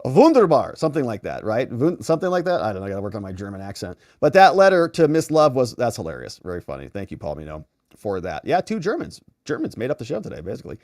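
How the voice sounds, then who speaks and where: A man hosting a live commentary stream, reading out viewer messages and thanking supporters in a chummy, effusive way with theatrical, drawn-out emphasis. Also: lowish voice, huge pitch swings, darkish tone, no room sound, studio quality. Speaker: host of a live political and legal commentary stream. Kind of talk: casual livestream sign-off, reading viewer messages and shout-outs.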